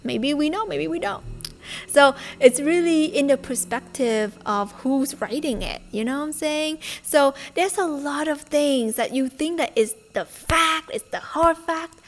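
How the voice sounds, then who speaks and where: A woman's voice: sits high, unhurried, soft background music. A woman talks informally to her viewers. Her delivery is conversational and emphatic, the style of a motivational video host. She leans on rhetorical questions and small asides as she goes. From Vietnam. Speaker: spiritual self-development video host. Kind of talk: informal motivational talk to camera.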